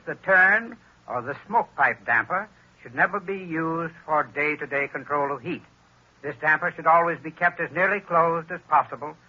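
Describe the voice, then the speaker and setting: A man reading a scripted radio advertisement in a measured, instructive way. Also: fairly high pitch, sharp tone, husky. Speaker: sponsor spokesman. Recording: dull lo-fi old radio recording.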